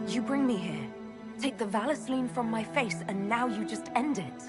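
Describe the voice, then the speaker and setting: An actress playing a young female elf mage, hurt but defiant, with a sharp and wry way of talking over game music. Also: on the high side, expressive, moderate pace, close to an everyday voice.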